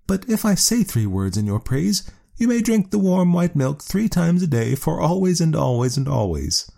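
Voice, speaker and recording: A man's voice: a little high, big pitch swings, moderate pace, creaky, dark tone, voice pushed up, exaggerated voice. A man voicing a proud, clever cave woman in a storybook, in a lofty, commanding sing-song. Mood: haughty.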